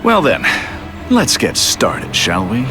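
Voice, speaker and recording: An actor playing a smooth, sinister asylum official in a video game, speaking with formal, oily politeness. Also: male voice, mid-range voice, wildly swooping pitch, takes it slowly, loud music behind the voice, exaggerated voice. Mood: polite menace. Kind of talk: video game cutscene acting.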